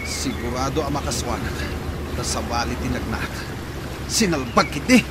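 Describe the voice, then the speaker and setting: A man's voice: mid-range voice, animated, moderate pace, natural voice. A man playing a tense, commanding ferry passenger in a radio drama, giving urgent orders. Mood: tense, doubting. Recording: very noisy crowded vehicle sound effects.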